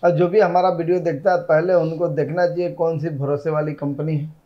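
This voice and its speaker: A middle-aged man with a rather high voice answers interview questions in a plain, earnest way. His sound is dull and lo-fi, recorded in an indoor office. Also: level tone, brisk. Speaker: shop owner interviewed. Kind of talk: casual interview.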